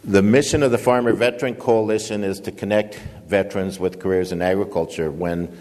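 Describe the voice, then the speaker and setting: An older man giving a conference speech, booming and halting, with many fillers. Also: steady tone, a little mellow, in a large hall. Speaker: guest speaker, nonprofit founder and director.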